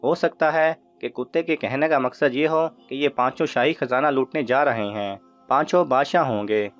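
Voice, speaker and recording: A man's voice: medium pitch, natural voice. A man voicing eager, admiring thieves who defer to their leader in a children's story. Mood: curious. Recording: muffled audio with music underneath.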